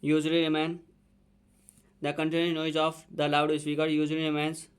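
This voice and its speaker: A man with an Indian accent teaching students, dictating a letter slowly phrase by phrase and mixing in explanations. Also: a little high, clear, resonant tone. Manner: slow, repeating each phrase as he writes; mixes Hindi explanation with dictated English.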